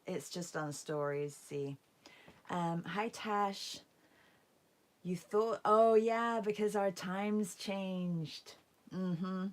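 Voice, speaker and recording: A woman with a hoarse, croaky voice after a concert, chatting casually with viewers as she hosts an art livestream. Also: medium pitch, very slow. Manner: chatty, greets viewers by name. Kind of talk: casual livestream chat while painting.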